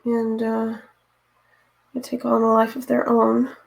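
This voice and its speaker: Woman streamer, raspy and a bit hoarse, fairly high voice, unhurried, chatty. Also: completely flat delivery. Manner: chatty, talks to viewers while working, often unsure what to do next. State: hoarse from reading aloud. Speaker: streamer painting live. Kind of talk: casual chat while painting.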